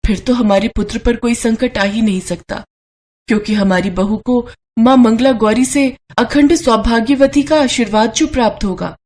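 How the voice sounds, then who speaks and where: A woman's voice: on the high side, moderate pace, a little mellow, natural voice. A woman voicing a devoted, concerned wife and mother, earnest and pleading, in a devotional story. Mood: hopeful, earnest.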